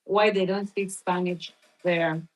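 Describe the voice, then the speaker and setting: Woman host on a casual live stream, slow and meandering, thinks out loud with a lot of "like"s. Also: fairly low pitch, little pitch movement. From Philippines. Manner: rambling, filler-heavy, thinking aloud while reading from a search. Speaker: livestream host.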